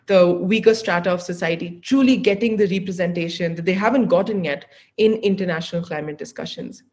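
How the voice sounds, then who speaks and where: A man's voice: high-pitched, a little mellow. A young male in his teens giving an earnest speech as a youth activist on a video call. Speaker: youth activist, panel speaker. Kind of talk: earnest prepared panel speech. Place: living room indoors, video call.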